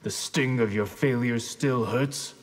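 An actor playing a stern, honorable ninja grandmaster in a video game, speaking in a terse, resolute, formal way. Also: male voice, medium pitch, slow pace. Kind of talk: video game character acting.

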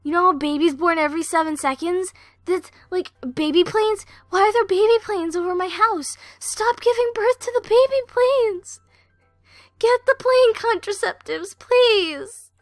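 A woman's voice: extremely high pitch, measured pace, dry recording, studio quality. A woman amateur voice actor in outtake chatter, goofing around playfully with music behind her. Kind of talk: outtake chatter between scripted lines.

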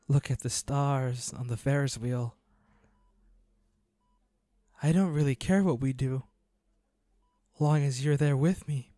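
A man acting a slow, halting, grieving hunter boyfriend. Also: mid-range voice, warm tone, no room sound, clean studio sound, close to an everyday voice. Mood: wistful, tender. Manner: halting, pleading, emotional. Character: devoted, grieving young male hunter, werewolf's boyfriend. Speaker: audio roleplay voice actor. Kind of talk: intimate dramatic monologue to an injured lover.

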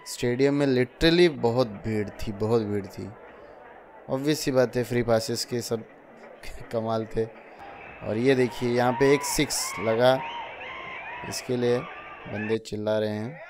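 A young man tells his vlog in a casual voice-over, talking straight to his viewers as friends. His delivery stays level, with little rise and fall. A steady hiss of noise sits under the voice. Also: medium pitch. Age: young adult. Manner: chatty, addresses viewers as friends. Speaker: vlogger.

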